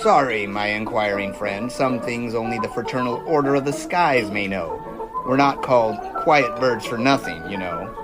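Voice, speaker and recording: A man's voice: mid-range voice, moderate pace, over loud music, natural voice, low-quality recording. A man playing a confident, seasoned 1920s test pilot in a scripted audio drama, talking in a folksy, assured, explanatory way. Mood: wry, folksy.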